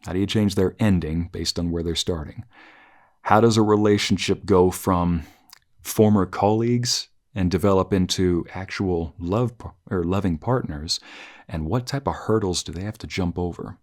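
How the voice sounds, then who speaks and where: A man talking through a conversational review as a host, reflective and halting, often rephrasing his thoughts as he goes. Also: low-pitched, a little mellow. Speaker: host of story review channel, narrator.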